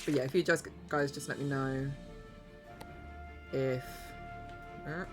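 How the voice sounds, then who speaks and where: A woman's voice: rumbling low, fairly even intonation, takes it slowly, music in the background. Giggly woman hosting a stream. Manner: chatty, giggly, rambling. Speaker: livestream host. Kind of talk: casual gaming livestream chat.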